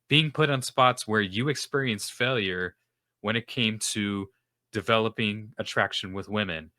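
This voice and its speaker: A man's voice: on the low side, pushed and bright, dry recording. A young man hosting a faith-based podcast as a self-esteem coach, talking in an earnest, rambling way with lots of fillers, his nose stuffed up. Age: young adult. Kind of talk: casual solo podcast monologue. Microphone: podcast microphone.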